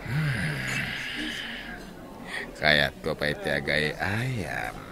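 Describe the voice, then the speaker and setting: A deep, slow, menacing general. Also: male voice, big pitch swings, gravelly, crowd noise, full character voice. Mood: taunting menace. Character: cunning, taunting male general in a gunfight. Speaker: radio drama actor. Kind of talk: Ilocano radio drama acting.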